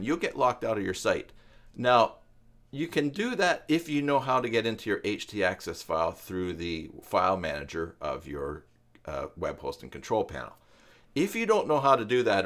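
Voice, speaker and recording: A man with a fairly low voice teaches in a live online tutorial. He talks off script, walking through steps one at a time, often halting and rephrasing as he thinks aloud. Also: fairly bright tone. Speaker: live stream host, web tutorial teacher. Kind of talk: unscripted live tutorial, explaining step by step.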